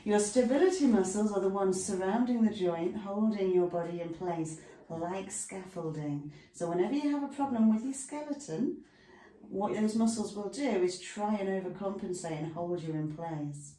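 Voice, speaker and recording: A woman's voice: mid-range voice, normal speed, warm tone. A woman instructor talking a class through stretches at home in a chatty way, with lots of asides between the cues. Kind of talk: guided exercise instruction. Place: room at home with a dog nearby. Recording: echoey room, mic far off.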